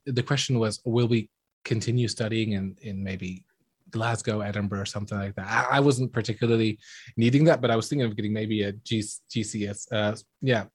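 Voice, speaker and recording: A man's voice: medium pitch, husky, no room sound. A man from the Netherlands chatting casually as an interview guest, telling stories and acting out what people said. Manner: animated storytelling, acting out quoted remarks. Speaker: interview guest, school drama teacher. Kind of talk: casual anecdotal chat.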